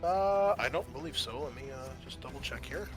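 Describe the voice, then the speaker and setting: A man's voice: medium pitch, animated, a little fast, music in the background. A man acting as game master, narrating a tabletop game in a casual, loose way.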